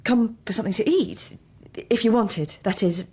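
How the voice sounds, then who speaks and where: A woman playing a shy, self-deprecating single mother, speaking softly and hesitantly in a naturalistic radio drama. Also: fairly high pitch. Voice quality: very breathy, soft. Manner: hesitant, halting.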